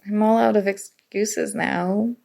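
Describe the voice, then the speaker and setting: A woman's voice: medium pitch, steady tone, slow, gravelly, mellow. A woman acting as a nerdy, flustered college student in an intimate ASMR roleplay, speaking hesitantly and emotionally with stammers. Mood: resigned, shyly hopeful. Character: nerdy, flustered young female college student confessing a crush.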